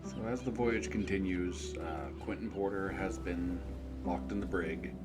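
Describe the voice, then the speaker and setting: A man working as game master, narrating a tabletop game in a halting, conversational way. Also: sits low. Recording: quiet mic under guitar music.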